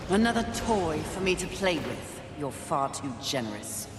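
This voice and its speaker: A fierce female warrior from a video game, sarcastic and defiant. Her voice swings widely in pitch and echoes over clear background music. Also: very animated, normal speed. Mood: sardonic. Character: fierce, sardonic female horseman warrior of the apocalypse. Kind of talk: video game cutscene acting.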